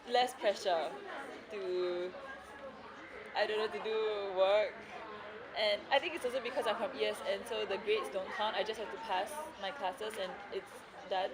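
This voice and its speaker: Chatty woman in her twenties from Singapore. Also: medium pitch. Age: 20s. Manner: chatty, lively, giggly. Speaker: interviewee.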